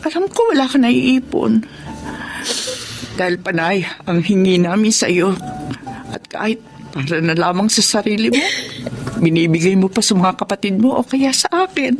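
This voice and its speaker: A woman's voice: medium pitch, gravelly. A woman acting a loving, apologetic middle-aged mother in a radio drama, with a Filipino accent and an emotional, pleading manner. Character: loving, self-sacrificing, apologetic mother of grown children. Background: dramatic background music.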